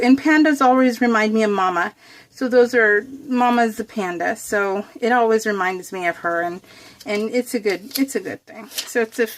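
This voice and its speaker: A woman's voice: a little high, slight background noise. An American woman chatting casually to viewers while crafting, in a bubbly, giggly, rambling, gushing way. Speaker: craft vlogger hosting a scrapbook process video.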